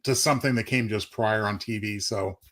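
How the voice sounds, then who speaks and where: A man's voice: on the low side, steady tone, a little fast, fairly bright tone. A man co-hosting a casual live show, with a wordy, courtly way of talking. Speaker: radio stream co-host.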